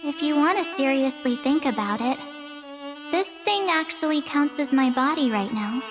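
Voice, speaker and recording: A woman voicing an earnest, defiant young traveler in a video game, speaking assertively in casual phrasing. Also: high voice. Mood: resolute.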